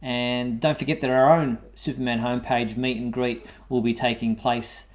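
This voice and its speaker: A man's voice: mid-range voice, little pitch movement, moderate pace. A man hosting a live online show in a conversational presenting style. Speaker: show host.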